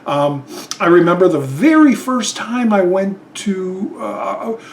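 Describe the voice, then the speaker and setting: A middle-aged man chats loosely to camera, stopping and restarting his sentences with plenty of ums. His voice sits fairly high for a man. A faint hum runs underneath. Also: animated, slow, gravelly. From Chicago, Illinois. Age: 50s. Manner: rambling, hesitant, many fillers and restarts. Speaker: YouTube channel host. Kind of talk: casual tag video monologue.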